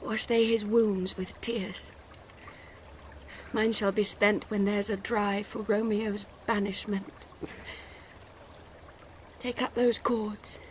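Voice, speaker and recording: An actress with a British accent playing a passionate, distraught young bride in classical Shakespearean radio drama, phrasing verse theatrically. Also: female voice, mid-range voice, level tone, takes it slowly, long pauses, slight background noise, close to an everyday voice. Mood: despairing, subdued.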